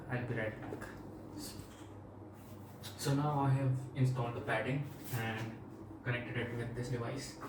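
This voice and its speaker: A man with an Indian accent talks through a product review in a flat, even tone. He is informal and plain-spoken, recorded at a distance in an echoey room with noise behind him. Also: medium pitch. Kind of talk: casual product demonstration and review.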